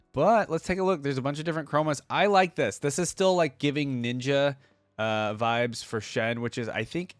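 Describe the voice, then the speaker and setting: A man's voice: on the low side, no echo. A man giving casual gaming reaction commentary as a video host, thinking aloud in an informal way.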